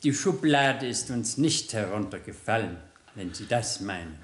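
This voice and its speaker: An actor playing a stiffly formal, superstitious butler to a countess in a 1960s German radio play. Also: male voice, lowish voice, expressive, moderate pace, reverberant, natural voice. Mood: polite, formal. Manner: ceremonious, stiffly polite.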